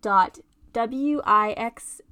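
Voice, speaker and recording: High-voiced woman hosting a radio show. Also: very slow, pushed and bright, clean tone. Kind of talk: scripted show introduction.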